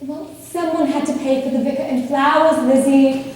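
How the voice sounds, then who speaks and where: A woman's voice: high-pitched, steady tone, moderate pace, a little mellow, natural voice. A woman playing a giddy, boastful young newlywed sister in an amateur period stage comedy, chattering in a gushing sing-song. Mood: giddy boasting. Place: theatre stage, miked from afar. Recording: echoey hall, distant mic, faint noise.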